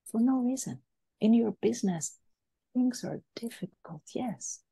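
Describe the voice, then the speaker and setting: A woman's voice: a little high, lively intonation, measured pace, gravelly, warm tone, breathy edge, no room sound. A woman who grew up in France, a business coach speaking as a podcast guest in an earnest, motivational way. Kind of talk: podcast interview talk. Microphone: podcast mic.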